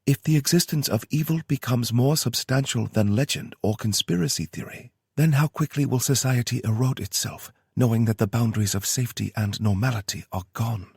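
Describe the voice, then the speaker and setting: A man voicing a calm, polite young woman who is a shapeshifter, speaking measured and kind. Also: medium pitch, vocal fry, husky, no room sound, studio quality, voice pushed up.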